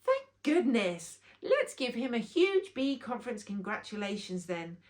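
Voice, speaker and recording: A woman's voice: fairly high pitch, big pitch swings, measured pace. A woman voicing an imperious queen bee in a children's storybook, with a grand, commanding, projecting delivery. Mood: delighted, grand.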